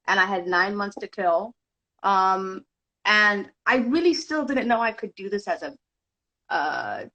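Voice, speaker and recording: A woman's voice: medium pitch, sharp tone, rough recording. A woman from Zimbabwe, a guest actor and director, telling her story in a podcast interview with frequent restarts.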